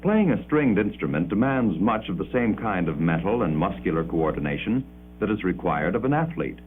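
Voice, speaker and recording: A man delivering formal, measured 1950s educational film narration with precise enunciation, on an old muffled soundtrack. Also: deep voice, very animated, slight background noise.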